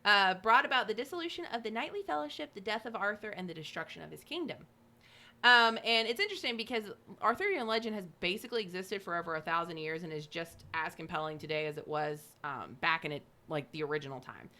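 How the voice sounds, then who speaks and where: A woman's voice: medium pitch, lively intonation, fast talker, pushed and bright. A woman co-hosting a podcast, reading from notes with chatty, informal asides and some stumbles over names. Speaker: podcast co-host.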